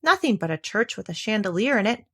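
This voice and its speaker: A woman voicing resigned, none-too-bright menservants in a fairy tale, with a shrugging delivery. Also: medium pitch, big pitch swings, fast, husky.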